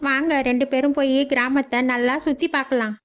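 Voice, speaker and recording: A woman's voice: high voice, steady tone, fairly quick, a bit bright, muffled recording. A woman voicing a fussy young city girl visiting a village in a cartoon. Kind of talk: cartoon voice acting.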